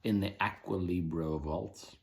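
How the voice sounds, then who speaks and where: A menacing old pirate captain acted by a man reading a story aloud. He growls his threats loudly and slowly. His voice sits deep and low. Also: creaky. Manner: growling, loud and drawn-out threats.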